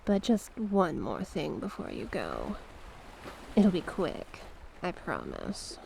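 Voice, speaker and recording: A woman voicing a seductive, manipulative sea witch in an ASMR roleplay, doting yet sinister, with a hushed, breathy, coaxing delivery. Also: on the low side, huge pitch swings, heavily acted, low-quality recording. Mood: doting, teasing. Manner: slow, coaxing, affectionate endearments. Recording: noticeable background noise and ambience.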